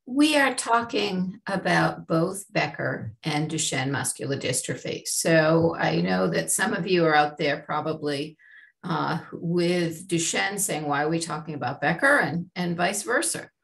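A middle-aged woman with a Boston accent, presenting a medical webinar over a video call in a measured, explanatory way. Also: deep voice, lively intonation, creaky, a bit bright, poor audio. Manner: measured, explanatory, slow and deliberate. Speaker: chief medical officer. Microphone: webcam on a video call.

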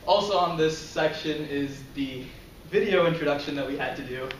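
Young male student, casual presenter with lots of ums, a touch high in pitch, recorded from afar in a roomy lecture room. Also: moderate pace, a little hiss or hum. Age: young adult. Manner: casual, filler-heavy, chuckles often. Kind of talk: class presentation. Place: lecture room, in front of a projector screen. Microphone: far camera. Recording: roomy, distant camera audio.

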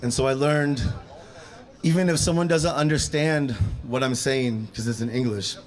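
A man's voice: mid-range voice, moderate pace. A man in his thirties talking casually about street music and live shows, holding a handheld mic in an outdoor courtyard. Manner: relaxed, conversational teaching. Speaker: rapper. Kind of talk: outdoor rap workshop talk and live rapping. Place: outdoor courtyard at a small event. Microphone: handheld microphone. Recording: loud hip hop beat behind. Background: hip hop beat.

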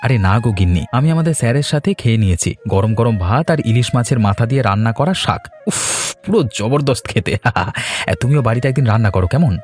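Man as cheery easygoing bengali husband in a cartoon, very animated, bit of music behind. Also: medium pitch, fairly quick, close to an everyday voice. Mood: cheerful. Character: cheerful, easygoing Bengali husband who loves village life. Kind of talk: cartoon voice acting, domestic dialogue.

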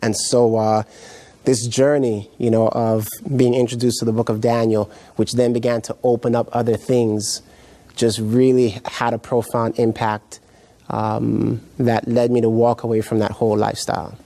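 Mellow, halting middle-aged man. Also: mid-range voice, level tone, gravelly. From Jamaica, raised in New York. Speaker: guest pastor. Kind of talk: personal testimony in a TV interview. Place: indoor TV studio set, seated.

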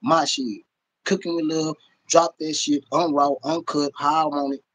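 American male streamer, middle-aged, husky and high for a man, blunt, sweary, casual rant. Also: little pitch movement, a little slow, a bit bright. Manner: blunt, profane, emphatic, repeats himself. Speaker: livestream host. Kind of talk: casual livestream rant to viewers. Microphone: phone or webcam at arm's length.

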